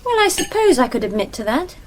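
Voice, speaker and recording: A woman's voice: sits high, sing-song, fast talker, slight background noise. An actress playing a cheeky, teasing young English woman, a grown daughter in a radio drama. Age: young adult. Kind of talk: radio drama acting, naturalistic banter.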